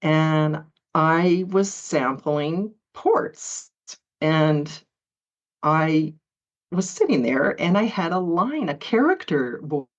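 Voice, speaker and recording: A woman's voice: sits low, animated, slow, dull audio. A woman author being interviewed, talking in a chatty way with frequent laughs and hesitant fillers. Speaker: author guest. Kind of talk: conversational interview.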